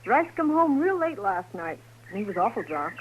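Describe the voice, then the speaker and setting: A woman's voice: mid-range voice, big pitch swings, normal speed, dull audio, a little hiss or hum, lightly acted. A woman playing a plain, hospitable rancher's wife in a vintage radio western, talking in folksy, rustic phrases. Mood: uneasy, folksy. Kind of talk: 1950s radio western acting. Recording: vintage 1950s radio drama recording.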